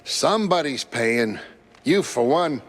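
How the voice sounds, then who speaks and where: Lively, folksy cartoon barkeep. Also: male voice, medium pitch, slow pace. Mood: kindly. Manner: folksy, colloquial, resigned. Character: kindly, proud, working-class male shrew barkeep. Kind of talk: 1930s noir cartoon acting.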